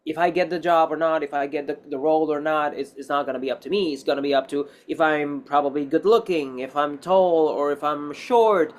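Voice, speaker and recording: Higher-pitched man, non-native English, rambling. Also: steady tone, fairly quick, few pauses. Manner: rambling, repetitive, emphatic advice-giving. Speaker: actor being interviewed. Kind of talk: casual interview conversation.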